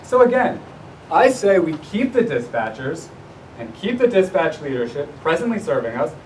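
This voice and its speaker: Male resident for formal, earnest public-comment speech; deliberate emphasis, recorded at a distance with rumbling background noise. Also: sing-song, a little mellow. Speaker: resident addressing a town council. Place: indoor public council meeting. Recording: distant mic, noisy room with low rumble.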